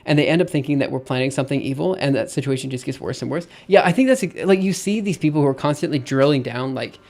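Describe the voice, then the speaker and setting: A man in his thirties talking casually on a live stream, thinking out loud with lots of fillers and restarts. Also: mid-range voice, quick pace. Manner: rambling, thinks aloud with many fillers and restarts.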